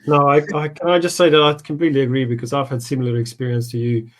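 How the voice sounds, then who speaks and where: A man explaining things in a measured, conversational way as a coach in a live training session. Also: mid-range voice, level tone. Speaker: property investment coach.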